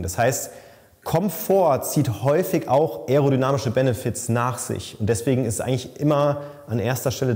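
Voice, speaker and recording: A man's voice: medium pitch, warm tone. A man working as a bike fitter, explaining thoughtfully in a lab, with stumbles and repeated words. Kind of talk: casual expert explanation. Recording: long reverb in a lab room.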